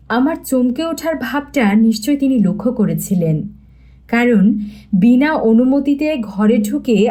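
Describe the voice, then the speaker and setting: A woman narrating a scripted story as an audiobook reader, in an expressive storytelling manner. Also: a little high.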